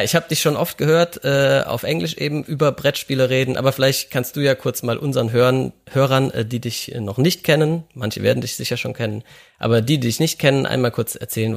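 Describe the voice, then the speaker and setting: A man hosts a podcast in a relaxed, casual chat. His voice has a gravelly fry to it. He talks hesitantly, with plenty of filler sounds between his thoughts. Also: mid-range voice, a little fast. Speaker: podcast host.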